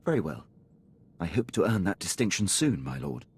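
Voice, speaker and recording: Low, husky, dutiful officer. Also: male voice, big pitch swings, moderate pace, a little mellow, heavily acted. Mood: formal, deferential. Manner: clipped, deferential, precise formal phrasing. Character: dutiful, formal male imperial military officer, loyal subordinate. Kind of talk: video game character acting.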